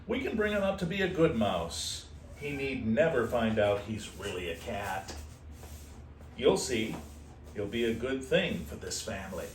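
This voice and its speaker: A man's voice: mid-range voice, sing-song, unhurried. A man voicing a confident, kindly father field mouse in a children's storybook, reassuring and persuasive. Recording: roomy, distant mic with faint noise.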